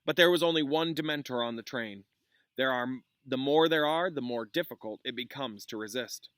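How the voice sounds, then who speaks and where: Grave young wizard professor read by a man, kindly but solemn storybook character, measured delivery, dry close sound. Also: mid-range voice, a bit bright, no room sound, natural voice. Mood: measured, cautious.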